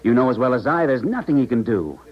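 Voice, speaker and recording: A man's voice: mid-range voice, animated, quick pace, close to an everyday voice. An actor playing an earnest, insistent male scientist in a 1970s radio drama. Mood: persuasive. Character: earnest, persuasive male scientist pleading with an old friend. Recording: dull, muffled 1970s radio recording.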